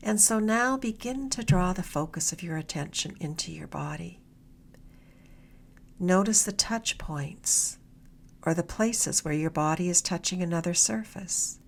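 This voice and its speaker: Low-pitched woman gently guiding meditation. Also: lively intonation, vocal fry, slight background noise. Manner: gentle, soothing, unhurried with long pauses. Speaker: meditation guide, mindfulness mentor. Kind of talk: guided meditation.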